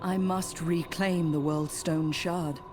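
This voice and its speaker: A woman's voice: lowish voice, steady tone, slow, over music. A woman voicing a determined, grim necromancer adventurer in a fantasy game, speaking formally and with earnest restraint. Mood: grim resolve. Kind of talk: fantasy video game acting.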